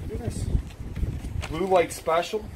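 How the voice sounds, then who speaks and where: A man in his forties with an Ohio accent, an auctioneer giving excitable vlog commentary with showman asides while digging through a storage unit, recorded by a handheld camera outdoors with loud background noise. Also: high voice, a little slow. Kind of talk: casual vlog commentary to viewers.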